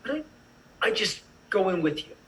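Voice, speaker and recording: A man's voice: high-pitched, normal speed, a bit bright. A man playing a cynical, hard-drinking tax auditor in a screenplay table read, dry and sarcastic. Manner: dry, sarcastic, gruffly blunt.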